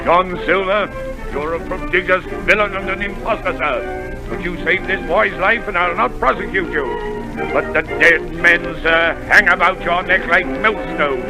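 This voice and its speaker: A stern, formal gentleman doctor who rebukes a villain with a high, gravelly voice. He speaks with old-fashioned reproach in a 1930s radio drama, with music swelling behind him. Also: measured pace, rough recording.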